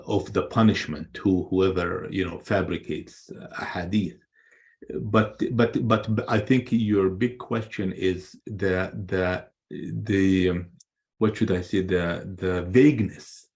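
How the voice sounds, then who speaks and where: Very low-voiced man, halting and emphatic. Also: a little mellow. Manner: halting, repeats words, emphatic. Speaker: guest presenter, scholar. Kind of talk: unscripted answer to a question.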